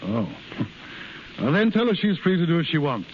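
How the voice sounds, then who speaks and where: Measured American official, slightly high voice, patient authority, muffled vintage radio drama. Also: male voice, sing-song. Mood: brisk. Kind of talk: 1930s radio acting. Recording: muffled hissy 1930s radio broadcast.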